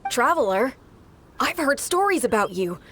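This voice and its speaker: A confident young informant. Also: female voice, sits high, very animated, normal speed, a bit bright, slight background noise. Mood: cheerful. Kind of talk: video game voice acting.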